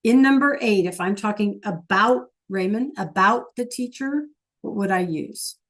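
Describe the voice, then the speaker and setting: A woman's voice: mid-range voice, expressive, a little slow, a bit bright. A woman teaching an online Spanish class, patient and checking in with her students often.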